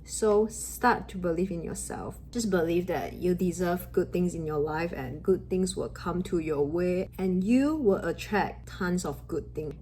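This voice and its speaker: A young Malaysian woman whose first language is Mandarin talks earnestly to her viewers, encouraging them to believe in themselves. Her voice sits a little low and sounds mellow and warm. Her English carries a Malaysian accent. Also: a little hiss or hum. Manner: earnest, encouraging, with grammar slips of a second-language speaker. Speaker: vlogger. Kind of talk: motivational talk to camera.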